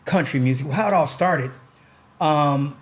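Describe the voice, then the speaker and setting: A man hosting a podcast, talking in a relaxed, rambling way with lots of pauses and fillers. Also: on the high side. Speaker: podcast host. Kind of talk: casual chat.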